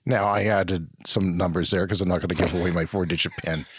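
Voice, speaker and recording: A middle-aged man with a flu-raspy voice, co-hosting a casual podcast chat and rambling through explanations. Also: deep voice, fairly even intonation, normal speed, no room sound, clean studio sound. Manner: rambling, self-correcting explanations. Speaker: podcast co-host.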